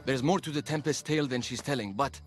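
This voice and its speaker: A man's voice: medium pitch, quick pace, soft background music, lightly acted. A man playing an earnest, idealistic young man of ancient Greece in a dramatic video game. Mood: calm, determined.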